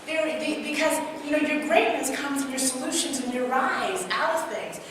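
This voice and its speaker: A woman with a Trinidadian accent, a motivational speaker giving an energetic live talk to an audience in a large hall, asking them questions and telling personal stories. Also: high-pitched, normal speed, a little hiss or hum. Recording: echoey hall, mic heard from a distance.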